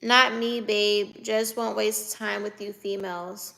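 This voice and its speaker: A woman's voice: mid-range voice, level tone, takes it slowly, gravelly, bright, forceful tone. A woman in her twenties chatting on a livestream, teasing and laughing as she answers viewer comments aloud. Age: 20s. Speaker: livestreamer reading chat. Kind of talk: casual live chat.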